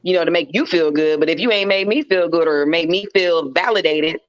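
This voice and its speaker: Blunt American woman with a bassy voice. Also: brisk. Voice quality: deep, bassy. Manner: blunt, hard-talking, sweary. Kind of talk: casual panel discussion. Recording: slightly dull, lo-fi, dry sound.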